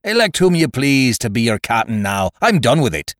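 A man voicing a cunning, domineering pirate sea-cook in an audiobook, with rough sailor dialect and a forceful, taunting manner. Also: lowish voice, big pitch swings, slow pace, no room sound, clean studio sound. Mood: angry, defiant. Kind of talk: audiobook character voice.

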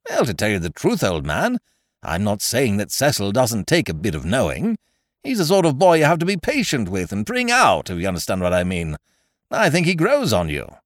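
Storybook scrounger played by a male reader: falsely hearty, cajoling, hugely animated, pitch leaping about. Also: normal speed, no room sound, studio quality. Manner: effusive, cajoling, old-fashioned British slangy address. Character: breezy male scrounger, wheedling, overfamiliar, falsely hearty chum.

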